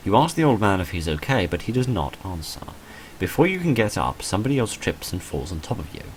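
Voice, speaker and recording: A man with a deep voice reads a visual novel aloud while playing. His delivery swings widely in pitch as he dramatises the text, then drops into dry, understated asides. A light noise sits behind the voice. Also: big pitch swings, fast, low-quality recording. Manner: dry, understated humour, dramatic when reading. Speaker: gamer recording a playthrough.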